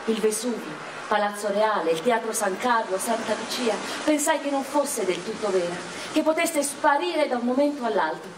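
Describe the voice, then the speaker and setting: An actress playing a proud, unrepentant woman revolutionary awaiting execution in prison, in a measured, literary radio-theatre monologue with deliberate pauses. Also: a little high, animated, noisy background, natural voice. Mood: wistful, reflective.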